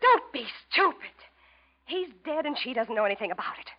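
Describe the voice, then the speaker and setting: An actress playing a grasping, insistent wife in a 1940s radio noir drama, urgent and melodramatic. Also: female voice, high voice, sing-song, brisk. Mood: hard, determined. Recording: noisy, dull old radio recording.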